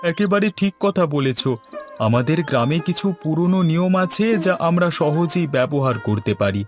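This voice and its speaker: Guy doing a younger cartoon village man, earnest, a bit high voiced, clear music underneath. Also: animated, moderate pace, natural voice. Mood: eager, concerned. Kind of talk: scripted cartoon dialogue.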